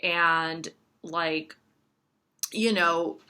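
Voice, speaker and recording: A woman's voice: fairly low pitch, fairly even intonation, very slow, pushed and bright, smooth. A woman in her thirties, a Serbian-speaking astrologer and tarot reader, chatting casually to camera in a rambling way with lots of fillers. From United States. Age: 30s. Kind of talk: casual talking-to-camera chat.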